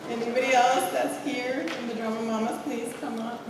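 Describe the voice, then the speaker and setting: A woman's voice: on the high side, level tone, normal speed. A woman speaking informally as a parent booster, thanking people and calling out names in a school theater. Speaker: drama club booster parent. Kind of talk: informal thank-you speech and name calling. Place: school theater auditorium with audience. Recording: echoey theater hall, distant mic, crowd noise.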